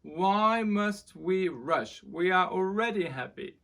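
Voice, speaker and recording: A woman voicing a young servant man in a storybook, playful, adventurous and teasing in a measured way. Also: on the low side, expressive, gravelly, a bit bright, voice pushed up, heavily acted. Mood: playful, assured. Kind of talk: storybook character reading.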